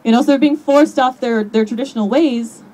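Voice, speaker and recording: A woman's voice: a little high, fairly quick, mellow, low-quality recording. A woman speaking at an outdoor rally, talking in a conversational way with frequent fillers. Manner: conversational, many "you know" fillers. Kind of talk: rally speech.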